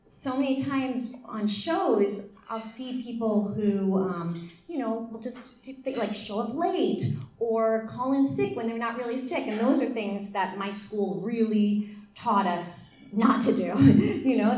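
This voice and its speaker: A woman in her forties tells stories from her life to a school audience. She is lively and self-deprecating and laughs easily. Her mid-pitched voice rings with the echo of a roomy event hall. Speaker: keynote speaker. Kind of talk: storytelling speech to students.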